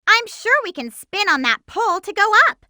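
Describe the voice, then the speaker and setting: A woman voicing a perky, bold cartoon hedgehog girl hero in a video game, giving hints in a chirpy, clearly enunciated, eager way. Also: very high-pitched, very animated, normal speed, pushed and bright, dry recording, studio quality, heavily acted. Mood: bright, eager. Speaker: playable character giving gameplay hints. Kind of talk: video game tutorial voice acting.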